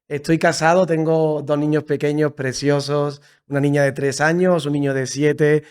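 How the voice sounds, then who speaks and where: A man in his thirties with an Andalusian Spanish accent, telling his story as a podcast guest in a lively, repetitive way. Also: on the high side, moderate pace, clear, resonant tone. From Seville, Andalusia. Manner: warm, enthusiastic storytelling with frequent repetitions. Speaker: podcast guest, church leader. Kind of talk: casual conversational interview. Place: creative studio in Madrid.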